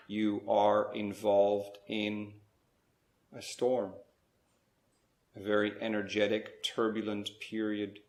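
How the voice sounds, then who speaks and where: A man leading a slow guided spiritual meditation, speaking in measured short phrases with pauses between them. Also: on the low side, echoey room.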